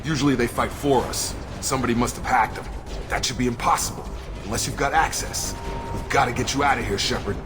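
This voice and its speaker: A man's voice: medium pitch, fairly even intonation, brisk, music in the background, lightly acted. A man playing a loyal, level-headed soldier and security officer in a video game, speaking plainly and informally. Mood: matter-of-fact. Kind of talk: video game dialogue acting.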